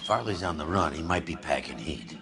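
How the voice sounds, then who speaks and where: An actor playing a world-weary older police detective and ex-Marine in a video game, gruff, gravelly and laconic. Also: male voice, a bass voice, expressive, a little fast. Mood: weary, resolute. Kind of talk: dramatic video game acting. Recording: loud vehicle noise behind.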